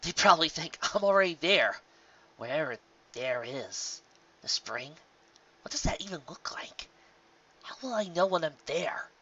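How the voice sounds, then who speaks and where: A man's voice: on the high side, big pitch swings, measured pace, pauses often, pushed and bright, highs cut off, pitched above the performer's own voice, full character voice. A man voicing a brash, boastful young female pegasus pony with hidden insecurity, in a cocky, emphatic storybook manner. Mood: doubtful, lonely. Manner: cocky, emphatic self-pep-talk.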